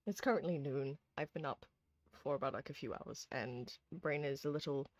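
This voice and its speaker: A tired, hoarse streamer who chats casually with viewers while replaying a horror visual novel, rambling with lots of ums and restarts. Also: medium pitch, moderate pace, dry recording. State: hoarse, sleepy. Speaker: streamer host.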